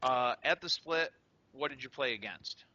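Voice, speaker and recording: A man's voice: mid-range voice, normal speed, sharp tone, slight background noise. A man hosting a casual interview, upbeat and joking, with many fillers. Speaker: show host interviewing a tournament winner. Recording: lo-fi recording.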